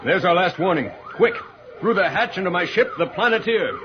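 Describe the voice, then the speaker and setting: A man's voice: sits high, lively intonation, noisy background. Muffled old-radio noble spaceman hero. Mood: urgent. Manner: formal, measured, declamatory. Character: noble, commanding alien spaceman hero, calm and courteous. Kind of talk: 1950s radio serial acting.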